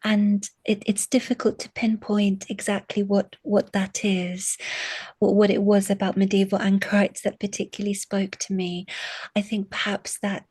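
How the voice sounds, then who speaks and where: Woman academic guest, husky, pretty flat delivery, lots of um and restarts, dry sound. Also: medium pitch, normal speed, dry recording. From family from Syria. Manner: thoughtful, hesitant, many restarts. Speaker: guest, literature lecturer. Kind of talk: academic interview.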